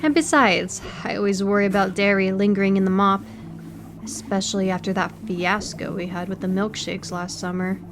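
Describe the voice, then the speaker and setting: A woman's voice: medium pitch, steady tone, moderate pace, close to an everyday voice. Woman playing prim aquarium lady in an audio rp, precise n politely condescending, music behind her. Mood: conversational, mildly weary. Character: educated aquarium worker, condescending daughter of the owners. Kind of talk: audio roleplay acting, one-sided conversation.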